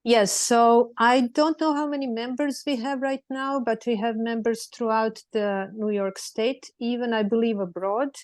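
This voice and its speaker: A woman's voice: a little high, steady tone, unhurried, few pauses, darkish tone, no room sound. A woman in her forties from Serbia with a Serbian accent, speaking as an attorney on a panel over a video call, friendly and full of fillers and restarts.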